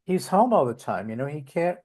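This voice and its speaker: A man's voice: fairly high pitch, big pitch swings, a little fast, darkish tone. Chatty man. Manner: rambling, with many fillers and restarts. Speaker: volunteer guest. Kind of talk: casual interview conversation.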